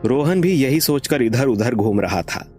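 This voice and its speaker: A male narrator reads a scripted moral tale in a middle-register voice. Soft music plays beneath the storytelling. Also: mid-range voice, expressive, unhurried.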